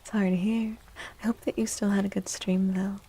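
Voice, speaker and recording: A woman livestream host chatting in a soft, gentle ASMR style close to the mic, drifting now and then toward a breathy whisper. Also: medium pitch, flat delivery, fairly quick. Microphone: close microphone.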